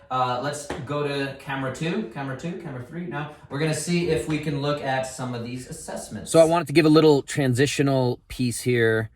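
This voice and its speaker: A male clinician talking casually to camera from his garage, explaining an assessment in a chatty, rambling way. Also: mid-range voice. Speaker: physical therapist. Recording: roomy echo.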